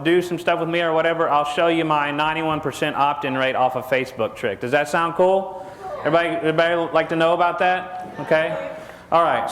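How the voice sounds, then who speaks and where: A man's voice: a little high, a bit bright. A middle-aged man from Texas with a Texan accent, giving a jokey seminar talk on stage and asking the crowd questions. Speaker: conference speaker. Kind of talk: joking seminar talk to an audience. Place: large conference hall stage. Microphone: lapel mic. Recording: echoey hall with long reverb.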